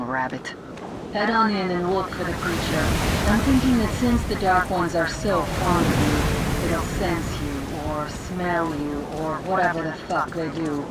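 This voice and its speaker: An actress playing a tough, sardonic young sniper in a game, curt and commanding. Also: female voice, on the low side, close to an everyday voice. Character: tough sardonic young female sniper in post-apocalyptic Moscow. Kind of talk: video game voice acting. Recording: noisy game battle ambience.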